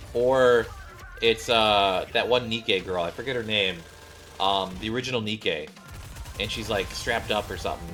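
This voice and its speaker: A man with a fairly low voice streams a game in a casual, slangy way. He thinks out loud as he goes, with game music playing faintly behind him. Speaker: gaming streamer. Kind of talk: casual gameplay commentary, reading dialogue aloud.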